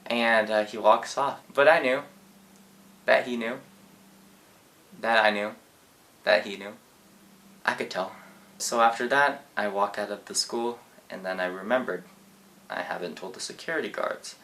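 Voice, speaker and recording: Laid-back teenage boy, low voice. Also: measured pace, long pauses, bright, forceful tone. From Japan. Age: teens. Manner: laid-back, rambling with fillers. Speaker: vlogger. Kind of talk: casual storytelling.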